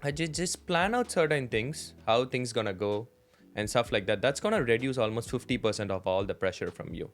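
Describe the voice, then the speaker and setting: Brief: male co-host, mid-pitched, self-assured advice, faint music in the background. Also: animated, fairly quick. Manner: confident, leans on "right?" and "you know". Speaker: podcast co-host. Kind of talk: casual advice chat.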